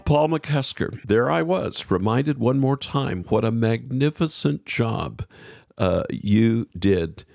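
Gravelly, animated male interview host. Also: medium pitch, takes it slowly, faint music behind. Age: middle-aged. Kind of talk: conversational interview.

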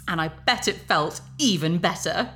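A woman playing a smug, manipulative, warmongering talk-show host in a sci-fi audio drama, with pompous, emphatic broadcast patter. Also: on the low side, moderate pace. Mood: smooth, leading.

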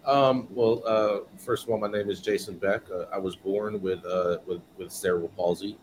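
A man's voice: on the low side, steady tone, moderate pace, a little hiss or hum, rough recording. A man from California, a guest on a podcast interview, telling his story earnestly and repeating words as he goes. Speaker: guest, business owner.

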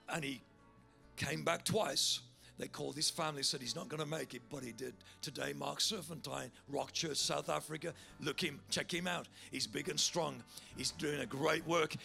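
A man's voice: a little high, vocal fry. A man preaching a sermon, telling a story and leading a call to prayer in a big voice. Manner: animated storyteller, building to loud fervent altar call.

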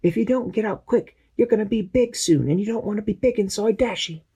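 Storybook sidekick: eager young crewman, pitched well up, voiced by a man. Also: dark tone, breathy edge. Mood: urgent. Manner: eager, loyal, quick replies. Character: brave boyish crew member with medicine gun.

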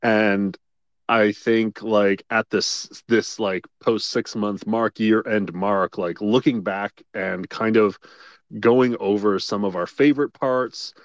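A man co-hosting a casual podcast chat, rambling in quick bursts with lots of fillers. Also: lowish voice, steady tone, no room sound. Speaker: co-host.

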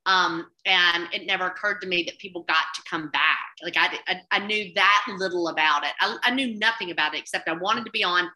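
A woman's voice: on the low side, brisk, few pauses, bright, forceful tone, rough recording. A woman with a Southern US accent, a cookbook author chatting in an interview, rambling with many restarts. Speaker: cookbook author and TV food contributor. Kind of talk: casual interview chat.

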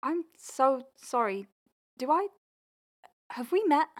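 Woman acting a young female doctor, audio drama, slightly high voice, earnest, awkward, nervous stammer. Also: big pitch swings, slow, very noisy, exaggerated voice. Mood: flustered. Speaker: lead actor in an audio drama podcast.

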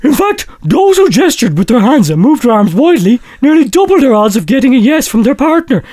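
A man's voice: very high voice, sing-song, brisk, darkish tone, exaggerated voice. A man voicing a smug, boastful old friend reading out his patronising comic letter. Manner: patronising, self-satisfied.

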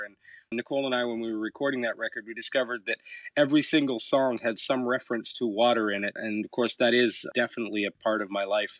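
A middle-aged man from Canada with a fairly low voice, talking about his life in a relaxed, reflective way. He tells his stories with a few hesitant uh's along the way. The sound is dry and close, with no room around it. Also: no echo. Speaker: musician guest. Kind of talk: relaxed interview answers.

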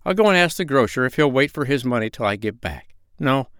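Gentle, dithering storybook doctor, male, as the narrator puts him on, dry close sound. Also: medium pitch, animated, a little fast, smooth, no room sound, voice pushed up, close to an everyday voice. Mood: kindly. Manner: mild, fussy, dithering. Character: kindly absent-minded male animal doctor.

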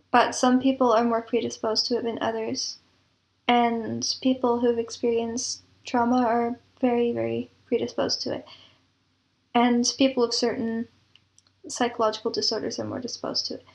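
A woman's voice: on the high side, gravelly. A woman being interviewed, explaining things reflectively with examples and analogies. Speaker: interview guest, composer and audio drama producer.

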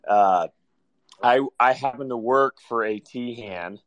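A slightly hoarse man chatting casually as a caller, in a hesitant, halting way. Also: medium pitch, no room sound, lo-fi sound. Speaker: caller to a podcast. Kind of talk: casual chat.

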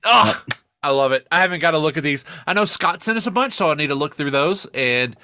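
A man co-hosting a podcast in casual comedic chat, exclamatory and quick to swear. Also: fairly high pitch, expressive, sharp tone, no room sound, studio quality. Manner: profane, exclamatory. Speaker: podcast co-host.

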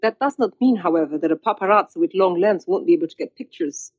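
An actress playing a shrewd, pragmatic conspirator in a TV drama. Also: female voice, on the low side, animated, fast talker, darkish tone, slightly rough, highs cut off.

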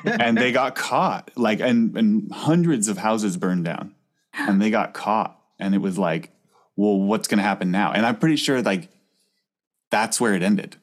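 A man co-hosting a comedy podcast, rambling through stories and throwing in quick jokes and laughs. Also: lowish voice, animated. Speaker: podcast co-host. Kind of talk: casual comedic chat.

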